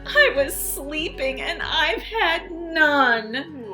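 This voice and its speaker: A woman's voice: sits high, animated, drawn-out words, music in the background, voice pushed up, exaggerated voice. A woman voicing a big, grumpy, self-pitying storybook bear who grumbles indignantly. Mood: indignant, hurt.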